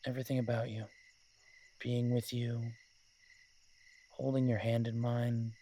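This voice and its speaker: A man playing a lovesick, wry mafia boss who rambles haltingly in an intimate audio drama voice message. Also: medium pitch, level tone, mellow, a little hiss or hum, natural voice. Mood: wistful, tender. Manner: halting, rambling, drawn-out pauses, occasionally swearing.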